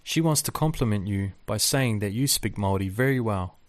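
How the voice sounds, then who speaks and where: Measured man giving language lesson prompts. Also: lowish voice, animated, no echo, clean studio sound. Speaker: language course instructor.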